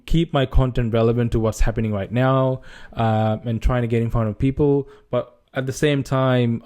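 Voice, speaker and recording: Sri Lankan man, soft-toned, hesitant vlog talk. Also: medium pitch, clean tone. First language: Sinhala. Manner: hesitant, many restarts and fillers. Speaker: gaming channel creator. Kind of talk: casual vlog talk to camera.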